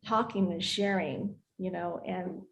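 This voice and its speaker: A woman's voice: fairly low pitch, steady tone, unhurried, creaky. A woman from Taiwan hosting a casual spiritual conversation, effusive and talking in short bursts with frequent check-ins. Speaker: host of a spiritual conversation series.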